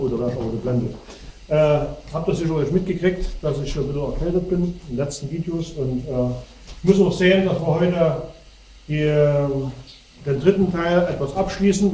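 A man's voice: fairly high pitch, mellow, slight background noise. Man with a cold, lecturing in a hall. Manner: standing and gesturing while explaining. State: has a cold. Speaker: speaker. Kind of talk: lecture to an audience. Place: darkened hall before a projection screen. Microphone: far camera. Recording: echoey hall from far off.

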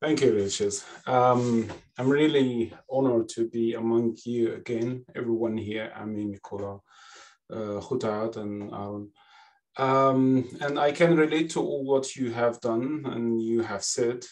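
A man's voice: mid-range voice, fairly even intonation, measured pace, a little mellow, distant mic. A man, speaking as a panelist in an unscripted talk. He hesitates a lot, restarting phrases and filling gaps with "you know", and speaks through a headset on a video call from a home office. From Egypt. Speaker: panelist, classics lecturer. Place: home office with bookshelves, indoors.

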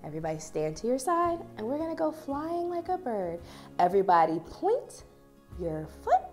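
A woman in her thirties, a children's dance teacher reading and instructing young children in an expressive, deliberate, encouraging way. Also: on the high side, faint music behind. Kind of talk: storybook read-aloud and instruction for young children.

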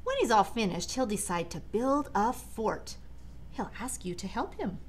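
A woman's voice: fairly high pitch, sing-song, measured pace. A middle-aged American woman reading a children's storybook aloud, expressively, with chatty asides to young listeners. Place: large living room, indoors. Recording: clear background room noise.

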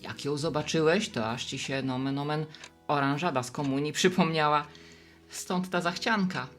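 An actress playing a friendly, wise, gently teasing middle-aged woman who runs a bar, in conversational radio play dialogue. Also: low voice, normal speed, soft background music. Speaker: barkeeper.

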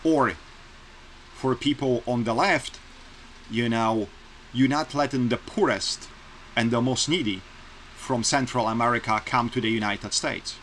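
A man's voice: medium pitch, measured pace, long pauses, fairly bright tone, a little hiss or hum, low-quality recording. Earnest Polish-accented man vlogging from bedroom. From Poland. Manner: earnest, plainspoken, repeats points for emphasis. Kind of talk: casual opinion vlog to camera. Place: small bedroom, indoors. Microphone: webcam close-up.

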